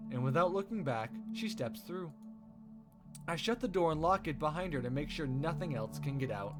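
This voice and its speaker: A man reading a visual novel aloud as a let's play host, with expressive storytelling narration. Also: fairly high pitch.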